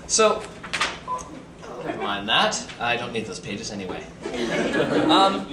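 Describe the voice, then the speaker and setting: A man giving a theatrical live reading with joking asides and campy, playful emphasis, as a reader at a comedy night. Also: mid-range voice. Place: bistro venue in front of an audience. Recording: roomy, distant, noisy live stream capture.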